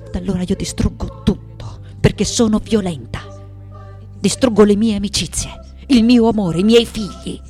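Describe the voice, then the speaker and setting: A woman's voice: on the low side, close to an everyday voice. An actress playing an elderly, defiant, vengeful woman sculptor. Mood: raging, powerful. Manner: intense, theatrical, swinging from hushed to loud. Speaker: actress in a radio play. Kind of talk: dramatic radio theatre monologue.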